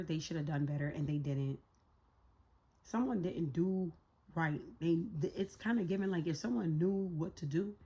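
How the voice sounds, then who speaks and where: A low, husky-toned woman whose voice is hoarse and raspier than usual. She gives a loose, slang-heavy tarot reading, swearing freely and thinking out loud. Her tone is dark and mellow under the rasp. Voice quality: raspy. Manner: talks to spirit while pulling cards. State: hoarse, voice a little shot. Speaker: tarot reader. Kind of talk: casual tarot reading to viewers.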